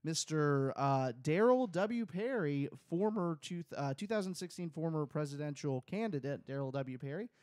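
Casual podcast cohost, slightly high voice, crude jokes, dry close mic. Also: male voice, lively intonation, moderate pace, few pauses, a little mellow, no room sound. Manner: rambling, crude jokes, many fillers. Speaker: podcast co-host. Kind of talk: casual chat. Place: small home room. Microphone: close studio mic on boom, headphones.

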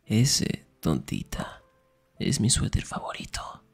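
A young man performing an intimate ASMR roleplay as a teasing, affectionate best friend, murmuring in a breathy, husky whisper. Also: on the low side, wildly swooping pitch, slow, faint music behind.

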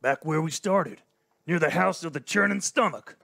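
A man's voice: fairly high pitch, normal speed, vocal fry, pushed and bright, heavily acted. A man voicing a brash male spaceship captain who drawls "y'all" in a live radio play. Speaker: host and performer of a charity stream.

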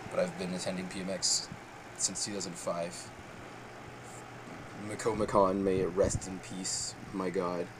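A man casually rambling through a show-and-tell vlog at home, excitable, with sudden high squeals. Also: a little slow, background noise. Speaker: vlogger.

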